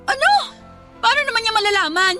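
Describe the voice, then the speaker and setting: A woman's voice: very high voice, expressive, fairly quick, sharp tone, faint music behind, full character voice. A woman with a Filipino accent playing a bossy, protective young best friend in a radio drama, scolding and insistent. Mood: urgent, protective.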